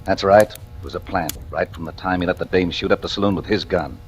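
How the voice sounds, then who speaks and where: An actor playing a hard, unapologetic police captain, gruff and blunt, in a 1940s radio drama. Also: male voice, sits low, quick pace, close to an everyday voice. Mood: cold, defensive. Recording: vintage radio broadcast, music behind.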